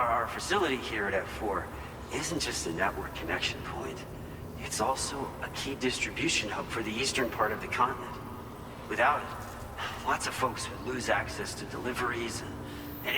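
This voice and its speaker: A man's voice: medium pitch, lightly acted. An actor playing an earnest, grateful male research facility staffer in a video game, explaining things a little hesitantly. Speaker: facility staffer at a distribution hub. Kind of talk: scripted game cutscene dialogue. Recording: echoey hall, clear vehicle-like noise.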